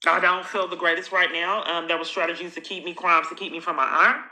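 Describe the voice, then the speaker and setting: A woman's voice: fairly low pitch, fairly even intonation, fast talker, sharp tone. A woman talking in an insistent, accusatory way, confronting someone on a call and running into long, repetitive monologues. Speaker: caller recording her own phone call. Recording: echoey room.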